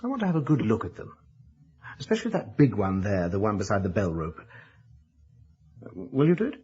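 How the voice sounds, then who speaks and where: An actor playing a studious, polite young English gentleman in a radio drama, educated and rational. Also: fairly low pitch, sing-song, brisk, husky. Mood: brisk, polite. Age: young adult. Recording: dull lo-fi old radio recording.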